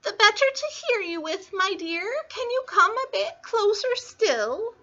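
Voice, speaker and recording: Woman doing a scratchy, high fake-granny wolf. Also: animated, a little slow, sharp tone, lo-fi sound, heavily acted. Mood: false sweetness. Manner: cooing, falsely sweet. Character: hungry male wolf posing as frail sweet old granny.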